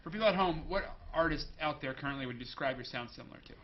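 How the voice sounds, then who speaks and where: A man's voice: on the high side, expressive, racing, lo-fi sound. A young man hosting a casual interview show, rambling loosely and joking between questions. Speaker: host.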